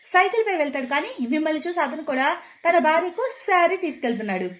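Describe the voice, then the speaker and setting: A woman acting a haughty rich wife, pitched high. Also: lively intonation, quick pace, a bit bright. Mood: scornful. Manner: haughty, sneering. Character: arrogant rich wife, vain and mocking. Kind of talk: animated moral story voice acting.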